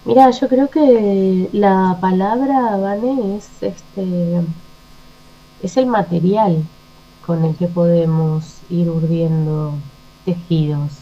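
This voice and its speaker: A middle-aged woman with an Argentine accent, answering reflectively in a conversational interview, pausing and searching for words. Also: fairly low pitch. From Argentina, Buenos Aires province. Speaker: interview guest, poet. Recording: muffled lo-fi with mains hum.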